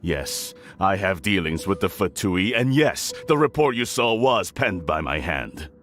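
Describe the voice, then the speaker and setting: An actor playing a proud, scheming older clan lord in a video game drama, speaking in a formal, haughty, theatrical manner. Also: male voice, low voice, sing-song, a little slow, fairly bright tone, faint music behind, heavily acted. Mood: smug.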